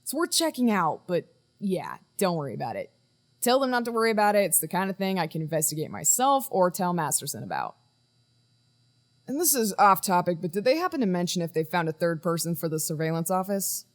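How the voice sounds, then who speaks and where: An actress playing a guarded woman in charge of a team in a naturalistic audio drama, brisk and firm with hesitant trailing asides. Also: on the low side, sing-song. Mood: brisk, dismissive.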